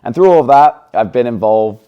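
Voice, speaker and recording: A man's voice: mid-range voice, lively intonation, normal speed, clean tone, highs cut off. A man in his twenties, originally from England, speaking with an accent that blends English and American as a guest in a casual interview. He talks in an upbeat, chatty way with lots of fillers. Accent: mix of English and American. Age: 20s.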